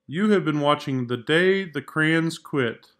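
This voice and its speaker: A man's voice: fairly high pitch, a little slow, a bit bright. A man, an art teacher, narrating a children's book read-aloud.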